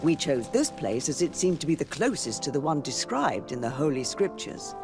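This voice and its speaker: A stately, animated high cleric. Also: female voice, over music. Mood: solemn, explanatory. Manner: formal, measured, solemn. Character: stately, persuasive high church leader, pious and solemn. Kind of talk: scripted video game dialogue.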